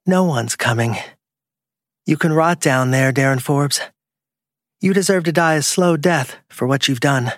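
A man's voice: on the high side, a little slow, pauses often, no room sound, studio quality, pitched above the performer's own voice, lightly acted. A man voicing a bitter betrayed woman who confronts her partner with accusing menace in an audiobook crime story. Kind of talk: audiobook dialogue acting.

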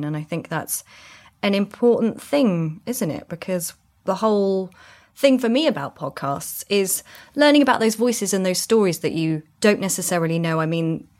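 A British woman co-presenting a podcast in a chatty way, often ending statements with a tag question. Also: lowish voice. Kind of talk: casual discussion of podcasting.

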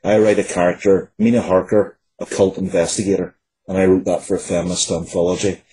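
Deep-voiced man in his forties, mellow. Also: level tone, fairly quick, slightly rough, rough recording. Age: 40s. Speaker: writer, interview guest. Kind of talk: conversational interview answers.

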